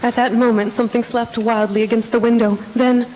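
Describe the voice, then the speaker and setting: A woman's voice: on the high side, little pitch movement, a little fast, natural voice. A woman playing a sober young wife who narrates her written record in a radio drama. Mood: tense. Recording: long reverb, faintly noisy radio recording.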